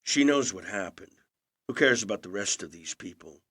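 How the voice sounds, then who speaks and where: An actor playing an aging movie star in an audio drama, a gentle, wry and understated older brother. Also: male voice, lowish voice, expressive, moderate pace, husky, no room sound, clean studio sound. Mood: wry, evasive.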